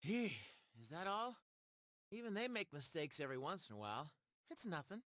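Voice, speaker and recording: A man playing a brash, casual teenage boy in a video game, blunt and expressive in a slangy way. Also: on the high side, normal speed, no room sound, studio quality. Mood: dismissive. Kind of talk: scripted video game cutscene acting.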